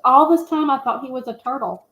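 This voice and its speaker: Higher-voiced woman in a roomy echo. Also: a little fast, a bit bright. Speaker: film review panelist. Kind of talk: casual chat. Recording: roomy, echoing sound.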